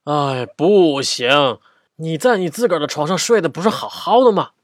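A woman's voice: low-pitched, lively intonation, moderate pace, slight background noise, pitched below the performer's own voice. A woman voicing a big grown-up mouse in a children's story, sleepy and patient, grumbling and sighing. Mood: grumbling refusal. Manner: grumbling, sighing, matter-of-fact.

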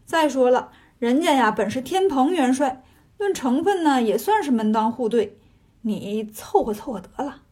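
Storybook monkey hero female narrator, high-pitched with husky edge, swaggering, mocking, casual banter. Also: lively intonation, normal speed, warm tone. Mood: teasing. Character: cocky, teasing male monkey king hero.

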